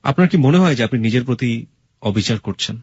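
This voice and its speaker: A man's voice: medium pitch, animated, brisk, a little mellow, breathy edge, dry recording, highs cut off. A man with a Bangladeshi accent hosting a radio interview, giving blunt, emphatic advice and mixing in English phrases. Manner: mixes English words and phrases into speech. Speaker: radio host.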